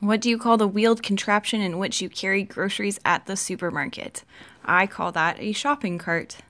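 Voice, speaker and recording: A woman's voice: medium pitch, fairly even intonation, moderate pace, a bit bright. Chatty canadian woman, laughs a lot, easygoing talk. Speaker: host of an accent tag video. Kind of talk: casual chat.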